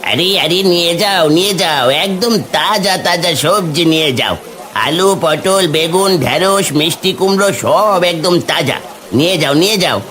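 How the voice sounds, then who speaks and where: A brash village vegetable seller from a cartoon, acted by a man. His voice sits a little high, and he talks like a stubborn market hawker who will not give an inch. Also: a little slow, sharp tone. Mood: hawking. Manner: brash, unyielding. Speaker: cartoon voice actor.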